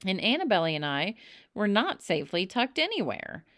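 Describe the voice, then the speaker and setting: A woman reading a children's story aloud in an expressive narrating style, with emphatic, dramatic phrasing. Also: mid-range voice, moderate pace, no echo, studio quality. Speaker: storybook reader.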